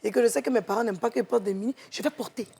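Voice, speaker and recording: A woman's voice: fairly high pitch, racing, creaky, warm tone. A woman with an Ivorian accent talking casually as a panelist, colloquial and outspoken. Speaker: talk show panelist and presenter.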